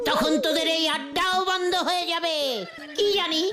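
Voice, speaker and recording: A woman voicing an excitable, put-upon young bachelor uncle in a cartoon, theatrical and full of exclamations. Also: very high voice, normal speed. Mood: indignant. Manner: theatrical, exclaiming, stammering when flustered. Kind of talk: exaggerated cartoon voice acting.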